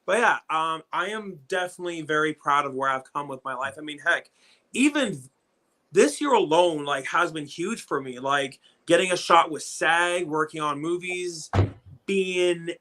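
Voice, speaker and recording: Bright-voiced man in his thirties chatting. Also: on the high side, expressive, normal speed. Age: 30s. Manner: rambling, repeats words when starting sentences. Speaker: livestream host. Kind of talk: casual livestream chat.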